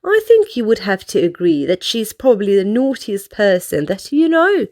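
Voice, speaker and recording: A woman reading a picture book aloud to young children in an animated, expressive storytelling style. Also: medium pitch, normal speed. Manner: animated, addresses young listeners directly.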